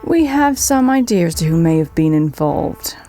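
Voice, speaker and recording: A composed servant of a crime lord played by a woman for a radio drama. Her voice is mellow and slightly low, soft and even, always deferential. Faint music sits behind her. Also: moderate pace. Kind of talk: amateur radio drama acting.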